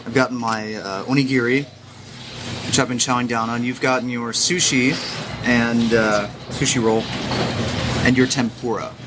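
A man's voice: mid-range voice, steady tone, normal speed, noisy background. A man co-hosting a podcast, chatting casually in a self-deprecating way with many fillers. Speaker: podcast co-host. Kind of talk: casual chat. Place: Japanese supermarket eatery. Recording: music playing behind.

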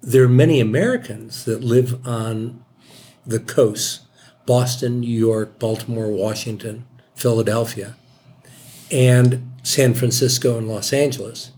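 A man in his seventies chatting as a podcast guest, talking in a measured, reasoned way with frequent restarts. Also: medium pitch, mellow. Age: 70s. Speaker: podcast guest, host's father. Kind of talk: casual chat.

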